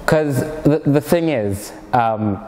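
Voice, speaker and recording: A man's voice: medium pitch, expressive, slow, dark tone, big-room sound, slight background noise. A young man from Norway with an American-sounding accent, a comedian and student chatting in an interview, rambling with lots of fillers and restarts. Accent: American-sounding English learned in Egypt. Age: young adult. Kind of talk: casual interview chat.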